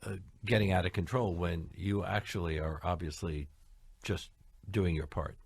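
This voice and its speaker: A man's voice: deep voice, fairly even intonation, moderate pace, gravelly, a little mellow, breathy edge, no room sound. A man hosting a radio interview, asking curious, playful questions. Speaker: radio host.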